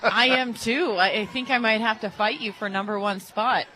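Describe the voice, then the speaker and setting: Bright, chatty female radio analyst. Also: a little high, fairly even intonation, normal speed, low-quality recording. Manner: chatty, laughs often. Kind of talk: live sports color commentary. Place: stadium radio booth.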